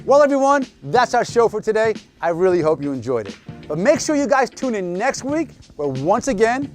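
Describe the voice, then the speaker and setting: A male television host with a high voice and very lively intonation. He presents and interviews in an entertainment-show style, with faint music behind him.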